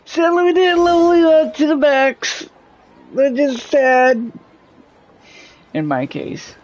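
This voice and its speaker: A man's voice: very high voice, slow pace, poor audio. A man giving casual, offhand gameplay commentary, musing aloud as he goes. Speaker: gaming video host.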